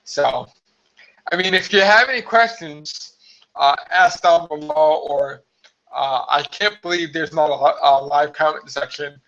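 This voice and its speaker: A hoarse man chatting casually on a live stream from home, rambling with many fillers. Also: on the high side, a little slow, a bit bright. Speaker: vlogger hosting a live stream. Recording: slightly dull lo-fi live stream audio.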